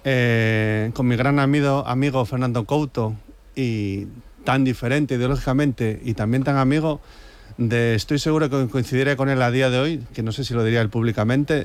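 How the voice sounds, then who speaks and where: A man on a discussion panel, explaining things casually and sceptically. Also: medium pitch.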